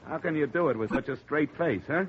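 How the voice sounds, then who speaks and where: A man's voice: on the high side, brisk, close to an everyday voice. An American actor playing a wry, world-weary male insurance investigator in 1950s radio drama style, terse and sardonic. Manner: hard-boiled, sardonic, terse. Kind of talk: 1950 radio acting, first-person narration and dialogue. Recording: muffled, noisy old radio recording.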